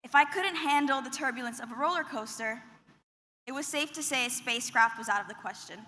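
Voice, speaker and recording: A young woman from Qatar delivers a graduation address at a podium microphone. Her voice sits high and sounds clear and bright, and her delivery is earnest and measured. A long reverb trails each phrase through the big ceremony hall. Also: slight background noise. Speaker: student commencement speaker. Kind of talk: prepared graduation speech. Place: large commencement hall.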